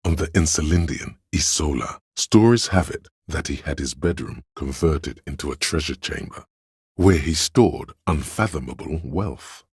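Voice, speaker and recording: A man voicing a wry, grandiloquent, erudite inner voice of trivia in a video game, lecturing in measured scripted narration. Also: very deep voice, animated, darkish tone, slightly rough, no room sound, clean studio sound, heavily acted. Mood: grand, wry.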